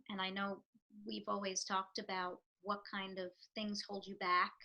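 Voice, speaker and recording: A woman with a New York accent answers interview questions in a bright, forceful voice. She talks in an earnest, reflective way, pausing with fillers as she thinks. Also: medium pitch, rough recording. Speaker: real estate professional.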